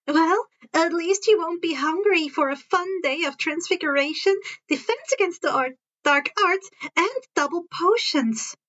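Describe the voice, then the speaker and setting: Storybook teen girl played by a woman: very high, eager, teasing, a little bossy, slightly muffled sound. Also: lively intonation, unhurried, hardly any pauses, a bit bright, full character voice. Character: bright, bossy, caring teenage schoolgirl.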